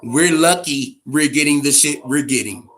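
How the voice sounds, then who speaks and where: A man's voice: fairly high pitch, moderate pace, a bit bright. A man with an American accent hosting a casual boxing chat show, giving opinions in profane, slangy language and repeating phrases for emphasis.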